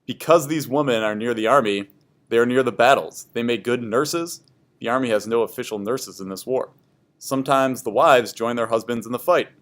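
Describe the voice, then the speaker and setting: A man's voice: medium pitch, normal speed, fairly bright tone. A man reading scripted educational history narration aloud for a class.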